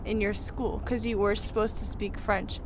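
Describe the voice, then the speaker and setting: A woman co-hosting a podcast, playful and quick to chime in with questions. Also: mid-range voice, little pitch movement, a little hiss or hum. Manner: playful, interjecting. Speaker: co-host. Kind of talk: casual chat.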